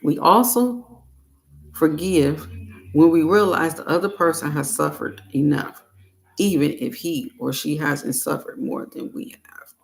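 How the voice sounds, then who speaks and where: A woman reads a guided self-help meditation at a very slow pace. Her voice has a gravelly fry to it, and she sets phrases apart with long, deliberate pauses. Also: sits low, rough recording.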